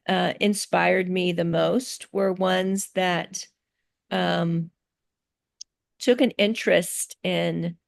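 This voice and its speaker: Woman, middle-aged, slow unhurried speech, creaky texture, thoughtful pauses, video call interview. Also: fairly low pitch. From grew up in Thailand. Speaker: interviewee, teacher. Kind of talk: casual interview answers.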